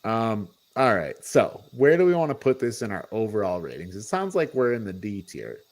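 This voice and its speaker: A man's voice: on the low side, sing-song, normal speed. A man co-hosting a casual movie review discussion, thinking aloud in an informal, hedging way. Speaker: podcast co-host reviewing movies. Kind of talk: casual panel chat.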